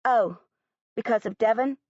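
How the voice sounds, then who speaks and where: A woman's voice: a little high, normal speed, no echo, muffled recording, natural voice. A woman voicing an earnest, literal-minded young girl who speaks bluntly and matter-of-factly.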